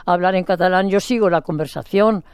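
A woman's voice: lowish voice, a little fast, darkish tone, smooth, no room sound. An older woman from Spain giving a chatty, playful interview, rambling and restarting her sentences often. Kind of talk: casual phone interview.

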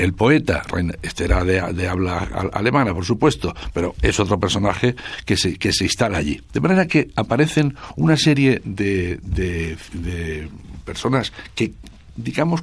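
An older man from Spain talks through a radio interview, thinking aloud and restarting his phrases often. His voice sits very low, and the sound is close and dry with no room to it. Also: lively intonation, measured pace, slightly rough, no echo. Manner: thoughtful, hesitant, many restarts. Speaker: guest author.

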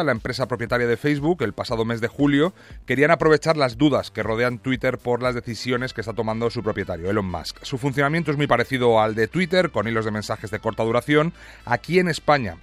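A man with an accent from Spain reading scripted technology news as a radio reporter. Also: mid-range voice. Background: music.

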